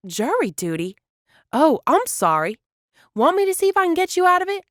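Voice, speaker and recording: A woman's voice: very high-pitched, big pitch swings, moderate pace, fairly bright tone, dry recording, studio quality, heavily acted. A woman playing an eager, scheming small-town dreamer in a cartoon, chatty and enthusiastic. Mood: persuasive. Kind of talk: animated comedy dialogue.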